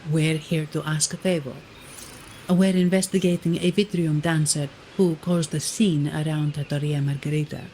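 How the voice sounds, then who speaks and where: A woman playing a composed, analytical young investigator in a video game, speaking in a measured, matter-of-fact way. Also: low voice, fairly even intonation, dark tone, slight background noise, close to an everyday voice, rough recording. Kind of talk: video game dialogue acting.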